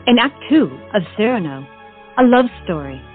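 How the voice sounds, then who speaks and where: Woman as lively, playful stage announcer. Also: medium pitch, moderate pace, phone-quality sound, soft background music, close to an everyday voice. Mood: playful teasing. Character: playful theatrical announcer introducing the act. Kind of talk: scripted stage narration.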